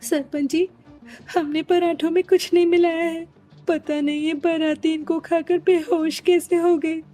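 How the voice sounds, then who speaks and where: A woman's voice: very high voice, level tone, a little slow, warm tone, soft background music. A woman playing an honest, hardworking food-cart wife in a Hindi cartoon story, earnest and respectful. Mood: pleading.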